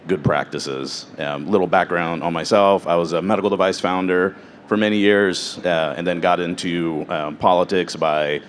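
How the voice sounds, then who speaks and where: A man's voice: deep voice, fairly even intonation, normal speed, a little hiss or hum. A man, formerly a medical device founder, introducing himself on a conference panel with many fillers. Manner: filler-heavy, lots of "uh" and "kind of".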